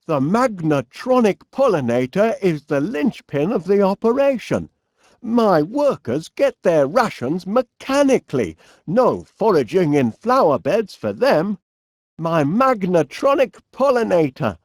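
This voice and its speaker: A man's voice: high-pitched, no echo, studio quality, exaggerated voice. A man playing a cheerful middle-aged eccentric inventor in a cartoon video game, with a Northern English accent and a quaintly polite, dithering manner. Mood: proud, chirpy.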